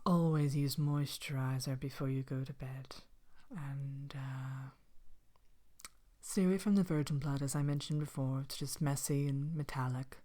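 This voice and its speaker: A woman playing a vain, haughty evil queen from a fairy tale, speaking softly and often whispering in an ASMR character monologue. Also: rumbling low, fairly even intonation, a little slow. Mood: wickedly chatty. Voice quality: soft-spoken, often breaking into whisper. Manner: haughty, languid, dryly wicked. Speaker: character host of an ASMR show.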